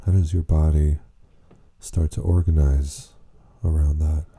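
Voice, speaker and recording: Very deep, dark-voiced man guiding meditation. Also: flat delivery, creaky, breathy edge. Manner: unhurried, gently questioning, with long pauses. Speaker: meditation guide. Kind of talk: slow spoken guided meditation.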